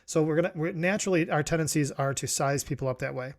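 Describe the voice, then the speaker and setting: A man's voice: a little high, fast. A middle-aged man from New York giving a reflective solo talk as a podcast host, rambling with many restarts and fillers. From New York, USA.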